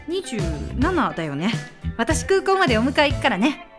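A sweet young girlfriend acted by a woman speaks softly and longingly on the phone in an amateur radio drama. The voice is high and moves expressively, with faint music behind. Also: big pitch swings, moderate pace. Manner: soft, affectionate phone talk. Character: sweet young woman missing her long-distance boyfriend.

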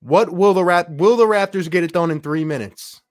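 A man hosting a sports livestream in an excited, casual way, reading out viewer messages with plenty of slangy exclamations. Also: sits high, moderate pace, no room sound, clean studio sound. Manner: slangy, exclamatory, addresses listeners as man and bro. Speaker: livestream host.

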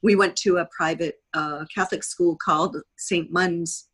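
A woman's voice: fairly low pitch, steady tone, moderate pace, a bit bright, husky. A woman in her sixties with an American accent, telling personal stories as an interview guest, often acting out what people said. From United States. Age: 60s. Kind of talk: anecdotal interview storytelling.

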